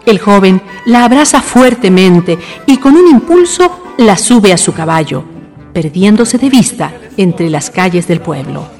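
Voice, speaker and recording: Chilean woman, scripted radio drama narration, lively intonation, long reverb, faint music. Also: mid-range voice, measured pace.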